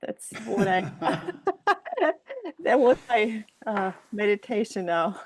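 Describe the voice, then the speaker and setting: Slow, hesitant woman sharing an experience. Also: mid-range voice, a little hiss or hum. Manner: hesitant, searching for words. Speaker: meditation retreat guest. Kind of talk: personal sharing in a group.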